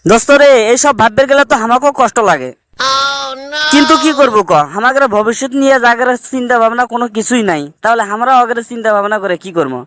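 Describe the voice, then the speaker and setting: A fed-up cartoon migrant worker, acted out by a man with a notably high voice. He speaks northern Bangladeshi dialect and presses his grievances emphatically, like a friend with a scheme. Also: fast, fairly bright tone. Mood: resigned. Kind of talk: comic cartoon dubbing in colloquial dialect.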